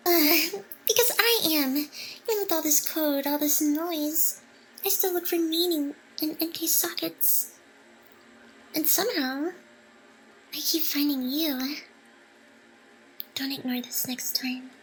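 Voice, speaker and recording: High-pitched, lovestruck girlish AI hacker played by a woman. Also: a little hiss or hum, low-quality recording. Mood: lonely, intimate. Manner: breathy sighs, soft teasing, halting confessions. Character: playful lovestruck female AI hacker, possessive and teasing. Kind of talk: intimate ASMR roleplay acting.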